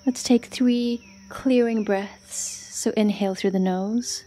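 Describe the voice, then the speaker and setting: A woman leading a guided yoga nidra meditation as an instructor, speaking softly and soothingly in short phrases with long pauses. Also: fairly high pitch, steady tone, a little hiss or hum.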